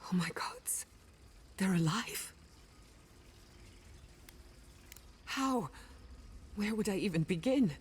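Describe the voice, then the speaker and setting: A woman's voice: mid-range voice, animated, unhurried, darkish tone, husky. An actress playing a haunted, defiant woman in a video game, intense and emotional in her delivery. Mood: stunned wonder. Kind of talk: video game dramatic dialogue.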